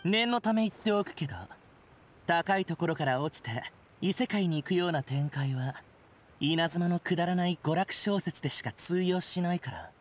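A man playing a haughty, sharp-tongued young wanderer in a Japanese anime game, talking in a sneering, sardonic way. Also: sits high, normal speed, a little hiss or hum, lightly acted. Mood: scornful.

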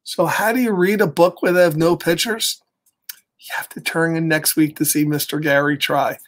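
Animated American man reading to children. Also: fairly high pitch, normal speed. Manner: animated, exaggerated delivery for young children. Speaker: story time host reading to children. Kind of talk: reading a picture book aloud with chatty asides. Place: small home office, indoors.

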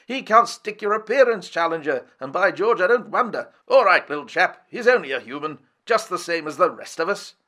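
A man's voice: high voice, lively intonation, fairly quick, few pauses, bright, forceful tone, pitched above the performer's own voice. Man doing a bluff, hearty aristocrat hunter. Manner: bluff, clipped, jovial slang. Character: hearty aristocratic male big-game hunter, brisk.

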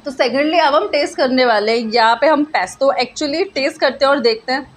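A woman in her twenties reviewing food at a small cafe, chatting casually and drawing out her tasting remarks slowly. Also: sits high, a little hiss or hum. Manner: chatty, mixes Hindi and English, slow drawn-out tasting remarks. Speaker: food vlogger. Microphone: handheld phone camera at arm's length.

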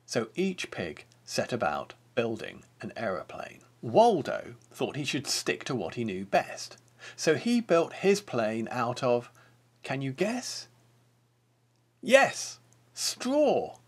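A man's voice: medium pitch, slow, slightly rough. A man in his fifties with an English accent reads a picture book aloud theatrically, speaking directly to the listener. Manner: theatrical, expressive, addressing the listener. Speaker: children's author and storyteller.

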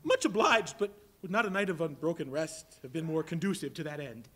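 A man's voice: a little high, animated, brisk, fairly bright tone. A man on stage playing a nervous, sentimental old miser in a Christmas play. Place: stage in a dim auditorium. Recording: live stage recording with music behind.

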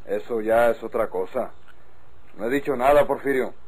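A man's voice: mid-range voice, moderate pace, a little mellow, breathy edge, natural voice, poor audio. An actor playing an outspoken, cautioning countryman in an old radio drama, with a northern Mexican accent.

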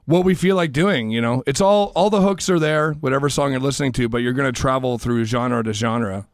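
A man from Canada, a band member guest on a radio show, talking casually with many pauses and fillers. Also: mid-range voice, no echo, studio quality. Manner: hesitant, full of uh and I mean.

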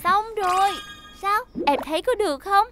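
High-voiced, capable young woman mechanic. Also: normal speed, a loud crowd. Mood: pleased. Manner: helpful, encouraging. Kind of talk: animated children's cartoon dub.

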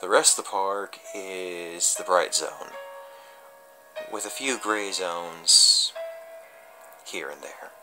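A man giving an amateur dramatic reading of a horror story as a confessional, halting and stumbling, with drawn-out pauses. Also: low-pitched, pushed and bright, soft background music, poor audio.

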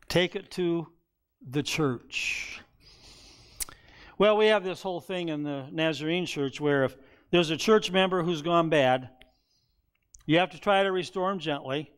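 Older man preaching in a high voice. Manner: conversational preaching, acts out quoted voices with rising pitch. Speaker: pastor. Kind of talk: sermon. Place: church sanctuary, seated behind a wooden pulpit.

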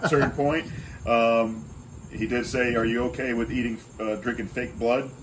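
A middle-aged man with a self-described loud voice, answering interview questions in a plain, emphatic way on an outdoor porch at night. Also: mid-range voice, fairly even intonation, normal speed, noisy background. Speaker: guest, haunt actor coordinator.

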